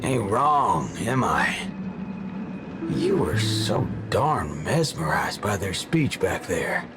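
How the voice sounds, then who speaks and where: A gruff gunslinger for a video game. He has a slow with a lively swing and folksy mock curses. Loud music runs behind him. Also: sits low, heavily acted. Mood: gruff, wry. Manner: drawling, folksy, mock-cursing.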